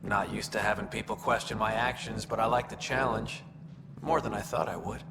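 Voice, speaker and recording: An actor playing a guarded, earnest alien soldier in a video game, with a gravelly voice and reserved manner. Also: male voice, lowish voice, steady tone, fairly quick, big-room sound, noisy background. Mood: relaxed, appreciative.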